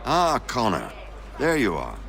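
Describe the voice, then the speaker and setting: An actor playing a shrewd, composed colonial gentleman and rebel organiser, with a wry, courteous conversational manner. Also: medium pitch, huge pitch swings, a little slow, gravelly, crowd noise, full character voice.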